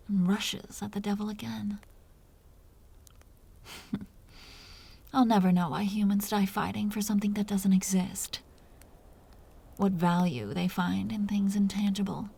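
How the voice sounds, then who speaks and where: Dominant, predatory female vampire played by a woman for intimate audio drama: amused, softly condescending, unhurried, whispery with a creaky edge. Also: mid-range voice, full character voice. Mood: soft, intrigued, then hungry, seductive. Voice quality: breathy, whispery. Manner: slow, teasing, softly condescending.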